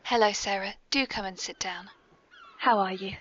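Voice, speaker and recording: A woman's voice: mid-range voice, steady tone, moderate pace, a bit bright. An English woman hosting a whimsical podcast in a playful, polite manner, on a dull amateur recording. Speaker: podcast host.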